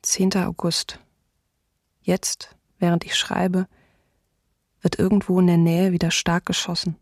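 An actress playing a shaken but sober city diarist in a radio docudrama, reading in an even, restrained, husky voice. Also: female voice, fairly low pitch, unhurried, no room sound, studio quality. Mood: bleak, bewildered. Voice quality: husky, creaky.

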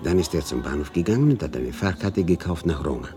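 A man's voice: deep voice, expressive, quick pace, warm tone, faint music behind. An actor playing a shrewd, talkative Italian informant with an Italian accent who mixes Italian words into his speech in a radio drama. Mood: matter-of-fact. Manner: slips Italian words into broken German.

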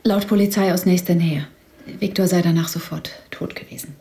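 An actress playing a polite, grieving widow in a naturalistic radio drama, speaking softly and hesitantly with some breathiness. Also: female voice, on the low side, steady tone, a little fast, close to an everyday voice. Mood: subdued, hesitant.

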